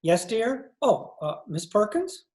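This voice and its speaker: A woman's voice: medium pitch, very animated, takes it slowly, darkish tone. A woman playing a flustered male newspaper editor in 1940s radio comedy style, hesitant, placating and dryly teasing. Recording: echoey room on video call.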